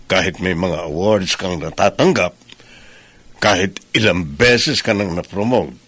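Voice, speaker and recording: Deep-voiced, earnest, caring boss. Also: male voice, fairly bright tone, slightly rough, dry recording, full character voice. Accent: Filipino. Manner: emphatic, pleading, deliberate phrasing. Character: caring male company boss, earnest and gently insistent. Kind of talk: Filipino radio drama acting.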